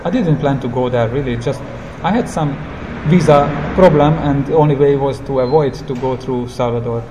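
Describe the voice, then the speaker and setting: A man who speaks English as a non-native language, telling travel stories as a guest in a casual interview, in simple, halting, reflective phrases. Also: mid-range voice. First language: not English. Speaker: interview guest, long-term hitchhiking traveller. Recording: clear background ambient noise.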